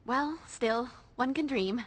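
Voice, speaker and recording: A woman playing a brave, sardonic young vampire slayer in a video game, with a slightly husky voice. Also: high-pitched, slow. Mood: flippant. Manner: sardonic, quippy. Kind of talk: video game cutscene acting.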